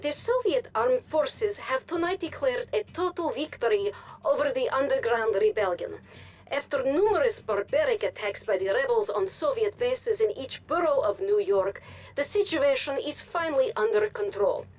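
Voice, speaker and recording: A woman's voice: a little high, lively intonation, moderate pace, poor audio. A woman playing a polished, formal TV news anchor who reads state propaganda dramatically in a video game. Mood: formal, grave. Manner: formal, dramatic newsreader delivery. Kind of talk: scripted TV news broadcast.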